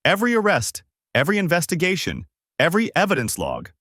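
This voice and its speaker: Guy narrator doing an urgent bossy male investigator in a story, pitched up, insistent, dry sound. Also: wildly swooping pitch, fast talker, fairly bright tone, no echo, exaggerated voice. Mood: urgent, tense. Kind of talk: acted dialogue in story narration.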